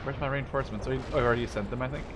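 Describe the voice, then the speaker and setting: A man gives casual, rambling, expressive gameplay commentary in informal English. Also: mid-range voice, rapid-fire, a crowd in the background. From Netherlands. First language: Dutch. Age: 20s. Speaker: gaming video host. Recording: game gunfire and artillery behind. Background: video game battle sounds.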